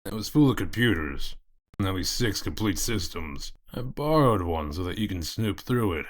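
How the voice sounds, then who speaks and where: Low-voiced, gruff, sardonic detective played by a man. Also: animated, normal speed. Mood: dry, grumbling. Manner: dry, wry, evasive. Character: gruff, sardonic male detective of exiled fables. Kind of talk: comic dub character acting.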